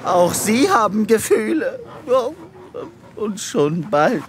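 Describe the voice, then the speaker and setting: Gushing, officious female chicken alien, hugely swooping intonation, unhurried, sci-fi audio comedy with noisy ambience. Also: medium pitch, very animated. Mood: brisk, bossy. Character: eager, brisk female chicken-creature official, admiring. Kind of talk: comic science-fiction radio play acting.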